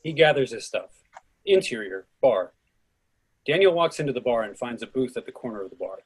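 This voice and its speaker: Narrator, male, mid-range and a little raspy, reading script action for a readers theatre. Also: mid-range voice, expressive, a little fast. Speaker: narrator reading stage directions.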